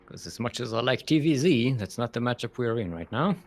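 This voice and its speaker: A young man with a Singaporean accent, casting a live strategy game match in an animated, chatty way with frequent laughs. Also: mid-range voice, a little fast. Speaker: esports caster.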